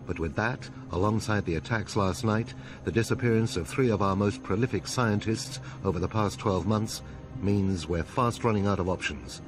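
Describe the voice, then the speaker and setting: Low-voiced, commanding actor as male agency chief. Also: music in the background, natural voice. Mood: grave briefing. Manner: measured, authoritative. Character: stern, commanding male leader of secret agency. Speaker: game cutscene actor.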